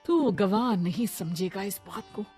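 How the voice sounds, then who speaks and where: Give me a woman acting a loud, scolding stepmother. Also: medium pitch, measured pace, husky, soft background music. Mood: scolding, greedy. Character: scheming, greedy, scornful middle-aged stepmother.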